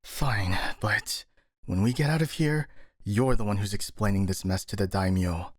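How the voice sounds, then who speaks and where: Skeptical, flustered male ninja voiced by a man for ASMR audio drama; lowish pitch, sighing delivery, very dry close sound. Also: normal speed, dry recording, lightly acted. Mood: grudging, strained.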